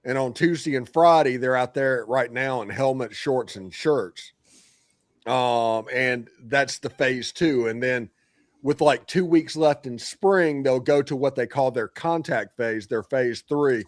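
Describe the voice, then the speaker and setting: A man hosting a casual sports podcast, telling animated, joking stories. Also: mid-range voice, unhurried, no echo, studio quality. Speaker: podcast host.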